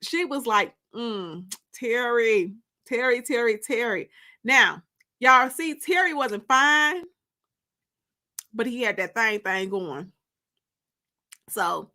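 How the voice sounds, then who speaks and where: High, bright-voiced woman chatting on livestream. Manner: gossipy, informal, repeats phrases for emphasis. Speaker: host of a live-streamed story time. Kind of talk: casual livestream chat.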